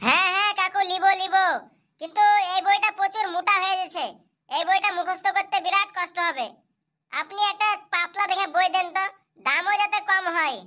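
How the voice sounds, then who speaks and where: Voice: very high voice, a little fast, bright, forceful tone. A performer voicing a cheeky, pushy schoolboy customer in a comedy cartoon, with whiny, insistent delivery. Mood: pleading, cheeky. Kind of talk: comic cartoon dubbing.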